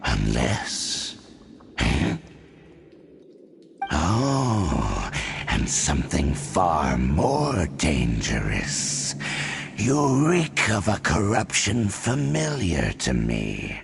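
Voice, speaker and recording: A gravelly, sinister abyss herald. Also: male voice, a bass voice, wildly swooping pitch, extremely slow, a little hiss or hum, full character voice. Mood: scornful. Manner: taunting, scornful, grim. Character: menacing male Abyss Herald, cold sinister monster. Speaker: villain. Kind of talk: video game voice acting. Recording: game audio with music behind.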